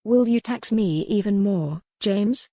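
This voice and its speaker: A woman's voice: medium pitch, slow, warm tone, no room sound, studio quality. A woman narrator playing a proud young noblewoman, formal and earnest, defiant yet remorseful.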